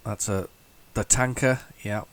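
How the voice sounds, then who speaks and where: A man hosting a retro gaming video, talking casually while loading old tapes, with a head cold and a rambling, restart-filled way of talking that jumps into excited bursts. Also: fairly low pitch, measured pace. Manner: rambling, chatty, lots of restarts and sudden excited outbursts. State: has a head cold, sniffly.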